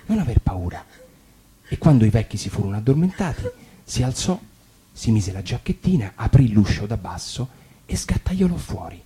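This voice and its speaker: A man's voice: lowish voice, moderate pace, breathy edge. A male actor narrating a fairy tale expressively for radio. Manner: warm storytelling with lively emphasis. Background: guitar music.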